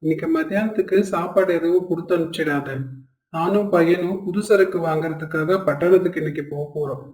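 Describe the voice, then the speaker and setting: An actor playing a stern, worried family shopkeeper in a cartoon moral story, with a firm, earnest manner. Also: male voice, on the high side, fairly quick, darkish tone, roomy sound, close to an everyday voice, rough recording. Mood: matter-of-fact. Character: men of a traditional family shop, stern, worried.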